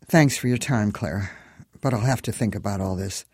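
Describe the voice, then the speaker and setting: A man's voice: fairly low pitch, a little fast, a little mellow, slightly rough, no echo, clean studio sound, pitched below the performer's own voice. Man reading as weary older widow.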